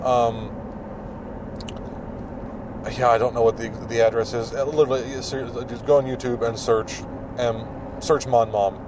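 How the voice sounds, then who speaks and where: A man hosting his own podcast, with a deep, mumbling voice, rambling through plugs with lots of fillers while he drives. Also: steady tone, moderate pace, long pauses. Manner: rambling, filler-heavy, self-deprecating. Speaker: podcast host. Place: in a car while driving. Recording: distant mic with road noise.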